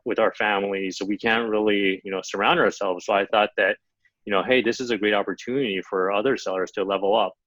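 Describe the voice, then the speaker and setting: American man, 30s, low voice, dry dull sound, lots of fillers. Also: dry recording. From United States (Los Angeles). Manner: relaxed, many fillers like "um" and "you know". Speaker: guest, Amazon seller and sourcing coach. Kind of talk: podcast interview, casual storytelling.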